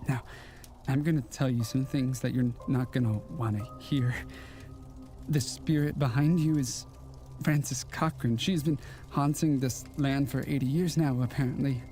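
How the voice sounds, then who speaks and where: Actor as polite, mellow, menacing ghostly man. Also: medium pitch, steady tone, faint music behind, lightly acted. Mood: quietly menacing. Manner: halting, old-fashioned, softly courteous phrasing. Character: ghostly 1940s killer, polite, wistful, quietly menacing man. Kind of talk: audio drama acting.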